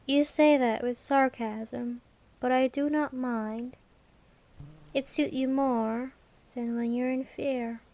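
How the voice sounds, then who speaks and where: Woman acting as ancient vampire queen, high-pitched voice, unhurried pace, imperious, formal, ASMR fantasy roleplay. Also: fairly even intonation, darkish tone. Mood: wry, intrigued. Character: ancient vampire queen, aloof, menacing yet protective woman.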